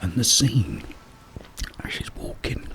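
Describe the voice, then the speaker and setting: Whispering British man, very slow and close. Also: lowish voice, sing-song, creaky, low-quality recording. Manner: up-close, hushed, rambling storytelling with pauses. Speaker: ASMR video creator. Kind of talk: ASMR whispered casual ramble. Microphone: close microphone.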